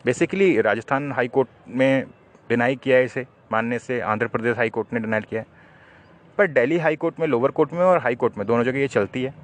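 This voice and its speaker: Male legal presenter, mid-pitched voice, didactic explanatory delivery. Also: brisk. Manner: didactic, poses questions then answers them. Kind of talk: explanatory legal talk to viewers.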